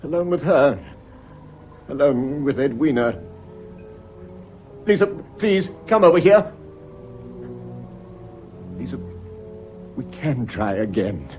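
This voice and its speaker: A desperate widower in a vintage radio melodrama. His mid-pitched voice swings widely, urgent, intense and theatrical, on a dull old recording. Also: animated, takes it slowly, long pauses, soft background music. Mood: desperate, pleading. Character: desperate, intense British widower and pleading lover. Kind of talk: vintage British radio drama acting.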